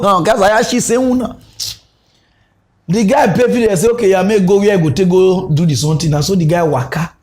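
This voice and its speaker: A man with a Nigerian Pidgin accent hosting a comic mock news segment, ranting in an animated, mocking way. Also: on the high side. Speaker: host.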